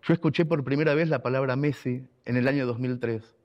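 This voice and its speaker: A man's voice: mid-range voice, fairly quick, muffled recording. A man from Argentina with an Argentine accent, telling a personal story in an emotional, emphatic way, repeating words for weight. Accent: Argentine Spanish. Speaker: radio contributor and writer.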